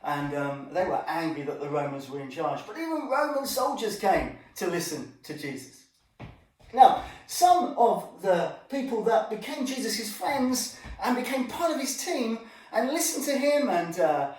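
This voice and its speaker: A man telling an informal children's Bible story, chatting directly to his young listeners as he presents. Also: high-pitched. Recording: echoey room, distant microphone.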